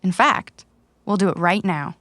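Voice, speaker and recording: A woman's voice: on the low side, unhurried, fairly bright tone, slight background noise. A woman playing an earnest, protective young cyberpunk runner in an audio drama, pleading and insistent. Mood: urgent, determined.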